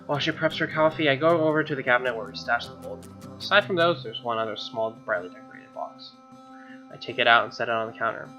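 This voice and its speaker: A man's voice: medium pitch, quick pace. A young man reading visual novel narration aloud as a let's player, informal and dropping casual asides. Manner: informal, with gamer slang. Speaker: video game let's player. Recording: slightly dull sound, music behind. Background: soft game music.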